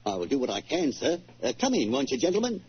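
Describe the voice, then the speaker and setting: An actor playing a guarded, deferential manservant who speaks formally, in the style of 1940s radio drama. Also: male voice, medium pitch, huge pitch swings, quick pace, a little mellow. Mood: polite, guarded. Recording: noisy muffled 1940s radio recording.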